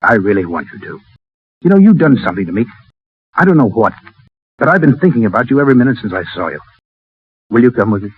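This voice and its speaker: A man's voice: medium pitch, sing-song, fast, pauses often, breathy edge. An actor playing a hard-boiled, proud legionnaire private with slangy tough-guy talk in a 1930s radio drama. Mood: tender, wistful. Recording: muffled 1930s radio recording, music behind.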